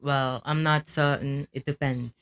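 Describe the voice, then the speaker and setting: A woman playing a composed, official chief telephone operator in an amateur radio play, measured and businesslike. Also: very deep voice, steady tone. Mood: calm, official.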